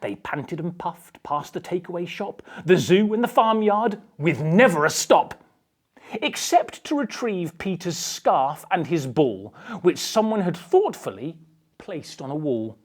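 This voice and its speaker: A woman reads a picture book to children in a theatrical storytelling style. Her voice sits a little low and swoops widely in pitch, and she delivers the rhyming story slowly, leaning into the drama. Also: very animated. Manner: theatrical, dramatic emphasis with hushed passages. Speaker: storybook reader. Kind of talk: animated children's picture book read-aloud.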